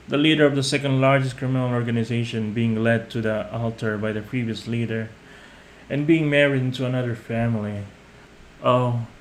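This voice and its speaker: A man's voice: mid-range voice, close to an everyday voice. A man playing a possessive, scheming young mafia boss in a roleplay audio monologue, speaking with smug, condescending, theatrical menace. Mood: gloating, mocking. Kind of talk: scripted roleplay audio monologue, addressed to a captive listener. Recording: clearly noisy amateur home recording.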